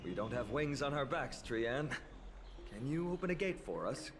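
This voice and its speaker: An easygoing, teasing young warrior, voiced by a man in a video game, at a middle pitch. His talk is relaxed and friendly, with game music playing behind him. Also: moderate pace, natural voice.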